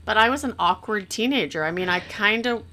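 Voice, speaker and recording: A woman's voice: mid-range voice, brisk, sharp tone. A middle-aged woman from Canada, a podcast guest telling her life story in an earnest, reflective, self-deprecating way. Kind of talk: casual interview chat.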